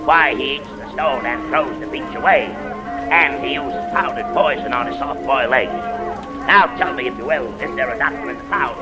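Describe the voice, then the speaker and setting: A man playing a bombastic sideshow pitchman with florid, mock-solemn ballyhoo in 1940s radio style. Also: normal speed, loud music behind the voice, natural voice, low-quality recording. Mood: ceremonious hype. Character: male sideshow pitchman, bombastic showman presenting freak acts.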